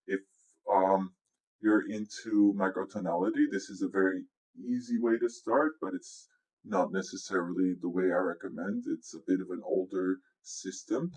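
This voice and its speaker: A man with a low-pitched voice gives a casual software walkthrough. He speaks as a music producer explaining his process, informal and instructive. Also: rough recording. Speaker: music producer giving a tutorial. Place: indoors, voice-over on a screen recording.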